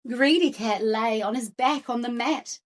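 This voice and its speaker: A woman reading a children's picture book aloud with expressive, rhythmic phrasing. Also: a little high, a little slow. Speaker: storyteller.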